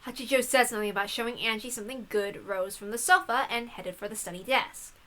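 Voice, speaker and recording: A woman's voice: fairly high pitch, fast talker, a bit bright. A woman reading a visual novel aloud as dramatic story narration. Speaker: story reader.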